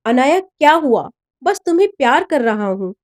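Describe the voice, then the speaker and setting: Pushy, high-voiced teenage boyfriend acted by a woman. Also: very animated, normal speed. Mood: defensive, indignant. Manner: pleading, insistent.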